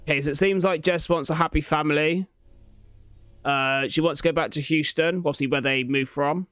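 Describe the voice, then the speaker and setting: Young guy reacting casually, swears a bit, smooth clear voice, close dry mic. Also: a little high, fairly even intonation, a little fast, fairly bright tone, dry recording. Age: young adult. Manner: slangy, swears freely. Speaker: reaction video host. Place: small room at home, indoors. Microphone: large desk microphone, close up.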